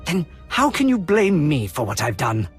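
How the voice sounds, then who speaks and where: A man's voice: a little high, wildly swooping pitch, moderate pace, breathy edge, faint music behind, exaggerated voice. An actor playing a proud, idealistic Imperial colonel in a video game, speaking formally and earnestly in his own defence. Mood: defiant. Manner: formal, earnest, impassioned speechmaking. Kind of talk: dramatic video game acting.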